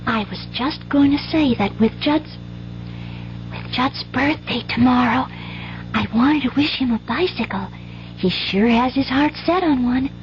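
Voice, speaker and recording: High-pitched earnest little country girl. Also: a little slow, faint music behind. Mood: hopeful, eager. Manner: folksy rural grammar, eager and wide-eyed. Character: earnest, trusting country schoolgirl, young daughter. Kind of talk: 1970s radio drama acting. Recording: dull, hissy old radio broadcast.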